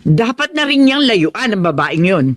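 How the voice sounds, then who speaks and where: Radio drama casting: actress playing protective middle-aged mom, medium pitch, reproving, close dry sound. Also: female voice, sing-song, normal speed, dry recording, close to an everyday voice. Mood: disapproving. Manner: reproving, concerned. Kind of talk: Filipino radio drama acting.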